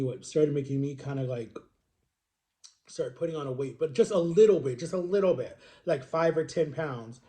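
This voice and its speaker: Mellow, higher-pitched man in his thirties, chatting. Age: 30s. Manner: rambling, self-correcting, emphatic repetition. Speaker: vlogger. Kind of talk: casual chatty storytelling to viewers.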